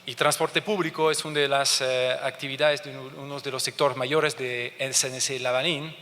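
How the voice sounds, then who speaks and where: A halting French Canadian man speaking in a reverberant conference hall. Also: medium pitch, flat delivery, bright, forceful tone. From France and Canada. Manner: halting, searching for words, many restarts. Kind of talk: conference presentation.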